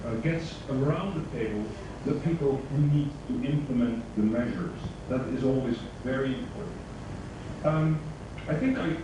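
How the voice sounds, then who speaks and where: A man speaking off the cuff at a conference, with a hesitant, halting delivery. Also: mid-range voice. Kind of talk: spontaneous conference talk. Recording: very echoey, distant, noisy conference audio.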